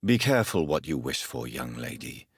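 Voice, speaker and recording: Deep, husky British gentleman vampire doctor. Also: big pitch swings, dry recording, studio quality, full character voice. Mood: stern, menacing. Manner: formal, measured, precise diction. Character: grave, articulate gentleman doctor turned vampire. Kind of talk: dramatic video game voice acting.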